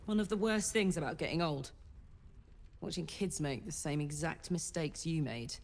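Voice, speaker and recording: A woman's voice: sits low, creaky, darkish tone, slight background noise. A woman voicing a world-weary, protective older woman in a video game, wry and plain-spoken. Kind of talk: video game character acting. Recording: music behind the voice.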